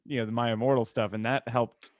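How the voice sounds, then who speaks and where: A man's voice: medium pitch, steady tone, quick pace. A man co-hosting a podcast with casual, joking banter. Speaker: podcast co-host.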